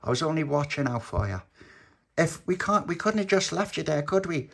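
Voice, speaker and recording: A man's voice: a little high, expressive, fairly quick, vocal fry, fairly bright tone, voice pushed up, exaggerated voice. A man as a bossy little boy ringleader. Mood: persuasive. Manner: commanding, persuasive, urgent.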